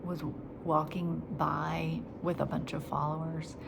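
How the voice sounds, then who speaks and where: A woman talking in a reflective faith vlog, soft and halting, her voice nasal after crying. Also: low voice, vocal fry. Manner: soft and halting, slipping into whispers. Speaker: vlogger. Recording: steady background noise.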